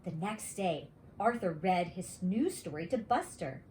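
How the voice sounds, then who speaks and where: Animated woman reading a children's story. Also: fairly low pitch, a little slow, a little hiss or hum. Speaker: kindergarten teacher reading aloud.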